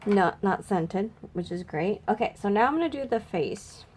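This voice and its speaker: Slightly raspy, congested woman chatting casually. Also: mid-range voice, lively intonation, moderate pace, a little mellow, a little hiss or hum. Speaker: makeup vlogger. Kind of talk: casual makeup swatch review chat.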